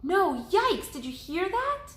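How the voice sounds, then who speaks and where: A woman's voice: extremely high pitch, sing-song, slow, pitched above the performer's own voice, heavily acted. A woman voicing a cheerful, encouraging and helpful young girl adventurer in a storybook reading. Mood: alarmed. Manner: bright-spirited, encouraging. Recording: roomy, echoing indoor sound.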